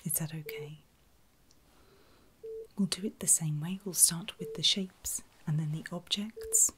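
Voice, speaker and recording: A woman speaking in a breathy whisper, gently reassuring and encouraging as she plays a clinician in an ASMR medical roleplay. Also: low voice, measured pace, a little hiss or hum. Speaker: ASMR performer playing a surgical clinician.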